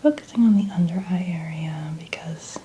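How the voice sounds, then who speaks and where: A woman speaking softly and often whispering in a breathy voice, gently narrating each step as an ASMR makeup host. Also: fairly low pitch, moderate pace, a little hiss or hum. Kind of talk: soft-spoken ASMR roleplay.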